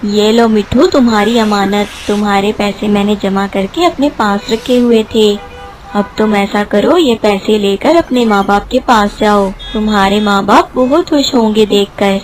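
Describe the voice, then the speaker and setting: A woman's voice: mid-range voice, moderate pace, slight background noise, voice pushed down, natural voice, low-quality recording. A woman voicing a kind, fatherly male vegetable seller who speaks reassuringly in a children's cartoon story. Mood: kindly, reassuring.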